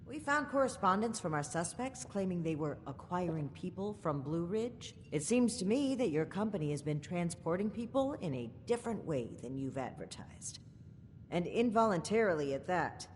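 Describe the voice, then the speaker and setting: A woman's voice: on the low side, big pitch swings, darkish tone, big-room sound, slight background noise. An actress playing a stern, righteous female soldier investigator in a video game, formal and suspicious. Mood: suspicious, accusing. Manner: formal, accusing, deliberate. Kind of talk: video game character dialogue.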